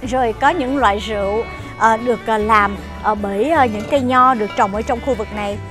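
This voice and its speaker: A chatty middle-aged woman with a fairly high voice guides viewers on a walking tour, pausing often on fillers as she points things out. She is recorded outdoors and in a shop, with music playing clearly behind her. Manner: chatty, many "ờ" fillers, addresses viewers as "quý vị". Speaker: travel show host. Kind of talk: walking tour narration to camera. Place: outdoors at a farm market and inside its shop.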